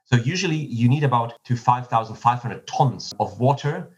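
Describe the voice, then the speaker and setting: A man from Germany giving measured, explanatory answers in a podcast interview, often restarting his phrases. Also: medium pitch, animated.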